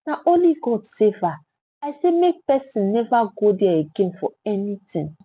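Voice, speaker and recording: A blunt Nigerian market woman, voiced by a woman storyteller grumbles indignantly in a story. Her voice is mellow and a little husky, with a complaining manner. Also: mid-range voice, sing-song, moderate pace, dry recording.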